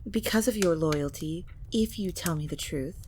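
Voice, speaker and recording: Woman playing an imperious, low-voiced vampire matriarch. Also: darkish tone, a little hiss or hum, natural voice. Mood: icy, threatening. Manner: slow, deliberate, coldly polite threats. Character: imperious, menacing vampire matriarch, mother and lady of the castle. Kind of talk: audio roleplay drama acting.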